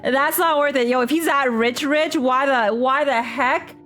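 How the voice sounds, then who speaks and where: A woman's voice: high-pitched, normal speed, a bit bright, soft background music. A young adult woman streaming a story game, giving casual, slangy reaction commentary with exclamations and repeated phrases.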